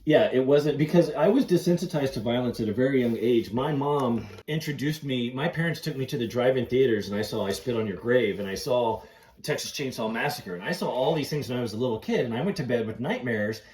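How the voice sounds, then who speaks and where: A man's voice: mid-range voice, a little fast, darkish tone. A middle-aged man hosting a podcast in casual, enthusiastic fan chat. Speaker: podcast host. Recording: roomy, echoey sound.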